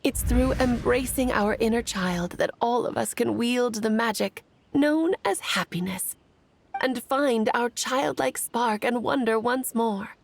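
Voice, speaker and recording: Playful high-voiced witch mother. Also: measured pace, creaky, slight background noise. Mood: gentle, wise. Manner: theatrical, teasing, gently wise. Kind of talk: video game voice acting. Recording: music behind the voice.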